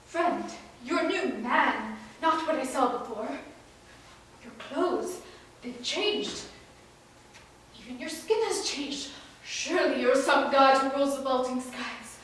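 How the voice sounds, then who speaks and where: A woman's voice: high voice, slow pace, fairly bright tone. A woman playing an awestruck, fearful young prince in a declamatory stage reading of epic poetry. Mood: awed, pleading. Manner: declamatory, earnest, dramatic emphasis. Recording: distant, very echoey room, faint noise.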